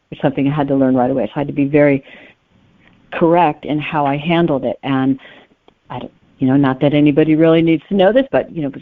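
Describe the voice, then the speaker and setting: A woman's voice: deep voice, no echo. A woman on a muffled, phone-like connection, talking as a guest author in an interview. The sound is narrow and close, with little room to it. The delivery is conversational and explanatory, with careful qualifications. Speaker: guest novelist.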